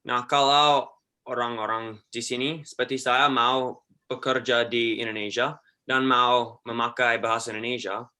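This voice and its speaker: A man's voice: medium pitch, level tone, unhurried, sharp tone, smooth. A man, an Australian university student and native English speaker, asking a question in careful learner Indonesian. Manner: careful learner phrasing, some hesitation.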